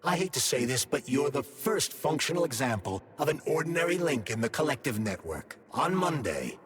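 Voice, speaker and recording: A man's voice: mid-range voice, big pitch swings, breathy edge, long reverb tail. A man playing a sentient glove that holds a dead scientist's mind, formal and coolly vengeful, in a video game cutscene. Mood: persuasive, grim. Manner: articulate, formal, measured explaining.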